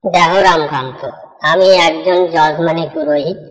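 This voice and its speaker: A man's voice: fairly high pitch, fairly even intonation, normal speed, a bit bright, lightly acted. A man playing a stern, haughty village priest who scolds and admonishes in a devotional cartoon. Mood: condescending. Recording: long reverb.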